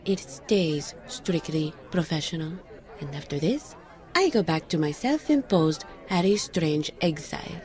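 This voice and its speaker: A woman's voice: low voice, sing-song, unhurried, a little mellow, some chatter behind. An actress playing a skeptical, guarded psychic woman, dry and teasing, in an audio drama. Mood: resigned. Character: skeptical, psychic enchanted woman, the detective's old flame.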